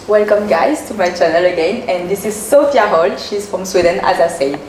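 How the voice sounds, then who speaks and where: A young woman with a French accent hosting a casual interview, speaking slowly and laughing often. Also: on the low side, lively intonation, darkish tone, a little hiss or hum, poor audio. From France. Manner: slow and giggly, laughs often. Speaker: host.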